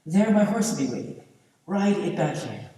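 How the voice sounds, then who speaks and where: A man on stage playing a stubborn, kindly old man, earnest and deliberate, mixing in Spanish words. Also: high voice, big pitch swings, mellow. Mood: grave. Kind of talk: stage play acting. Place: theatre stage, far camera. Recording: echoey theatre hall from far off.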